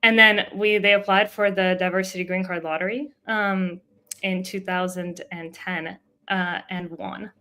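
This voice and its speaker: A woman's voice: mid-range voice, steady tone, measured pace, sharp tone. A young woman from Russia whose first language is Russian, a podcast guest telling her family story earnestly and openly, with many fillers. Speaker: guest, startup co-founder. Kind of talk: podcast interview, personal story.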